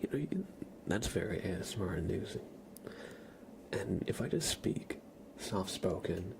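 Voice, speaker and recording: A man streaming ASMR, chatting casually to viewers in a soft, breathy whisper with a slightly stuffy nose. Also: sits low, low-quality recording. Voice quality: breathy whisper with a creaky edge. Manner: soft-spoken, rambling, casual.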